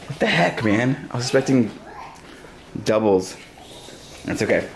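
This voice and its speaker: Upbeat dad vlogging on a handheld camera. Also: male voice, mid-range voice, expressive, unhurried, slight background noise. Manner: upbeat, chatty, laughs often. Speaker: vlogger, father. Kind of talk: casual family vlog narration. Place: restaurant, car, hotel at night.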